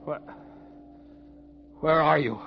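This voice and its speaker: An actor playing a fearful, haunted treasure diver, in dramatic old-time radio style. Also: male voice, fairly high pitch, takes it slowly, vocal fry. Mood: startled, fearful. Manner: dramatic, tense delivery. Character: haunted, fearful male treasure diver telling his story. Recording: dull vintage radio recording with music behind.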